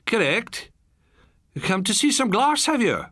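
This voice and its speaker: A man voicing a genial, crisp middle-aged Highland Scottish glass seller in an audiobook story. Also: sing-song, a little slow, a bit bright, dry recording, studio quality. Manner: crisp, affable salesman talk.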